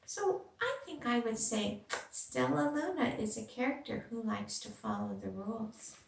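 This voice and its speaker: A woman's voice: fairly high pitch, unhurried, gravelly, roomy sound, far from the mic. A woman reading a children's story aloud and putting simple questions directly to young listeners. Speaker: teacher reading a picture book aloud to children.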